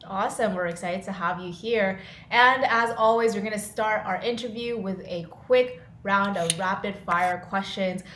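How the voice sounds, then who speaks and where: A woman's voice: medium pitch, moderate pace, fairly bright tone. A woman hosting a podcast interview in an enthusiastic, informal way, moving through questions. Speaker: podcast host. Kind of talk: upbeat interview with quick questions. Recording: roomy echo, faint noise.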